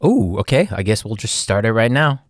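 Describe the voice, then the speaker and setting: A man with a Texan accent hosts a casual livestream and walks through market charts in a folksy, chatty way. His voice sits a bit low. The sound is dry and close, with no room echo. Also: very animated, normal speed. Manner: folksy, repeats "ladies and gentlemen". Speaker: livestream host, market analyst.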